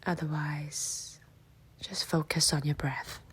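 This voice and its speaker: A woman leading a guided meditation, giving soft, breathy, gentle instructions with long pauses between short phrases. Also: low voice, level tone, whispered. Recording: faint outdoor nature ambience. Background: faint nature ambience.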